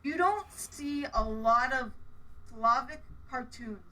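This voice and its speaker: A woman's voice: high voice, expressive, slow, pushed and bright, distant mic, rough recording. A woman raised speaking Polish and Russian, a cosplayer guest talking earnestly in a casual interview, often restarting her sentences.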